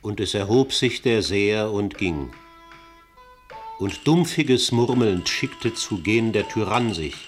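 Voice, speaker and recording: The narrator of an ancient tragedy in a radio play. The verse comes slowly and solemnly in a low declaiming voice over faint music. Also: male voice, lively intonation.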